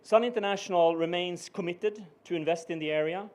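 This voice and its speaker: A man's voice: high voice, a little mellow. Swedish-accented man giving a formal speech. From Sweden. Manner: measured, with frequent "uh" pauses. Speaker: business executive giving a speech. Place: ceremony venue.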